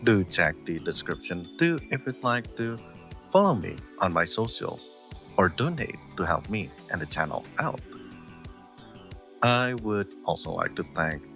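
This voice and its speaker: A man's voice: deep voice, big pitch swings, a little slow, gravelly, fairly bright tone, soft background music. A man who makes audio roleplays, giving a friendly sign-off to his channel's listeners. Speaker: audio roleplay creator and voice actor.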